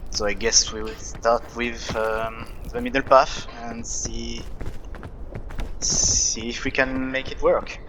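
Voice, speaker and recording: Slow, hesitant man with a French accent. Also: medium pitch, flat delivery, background noise. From France. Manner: hesitant, thinking aloud with many fillers. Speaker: gameplay commentator. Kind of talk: casual gameplay commentary.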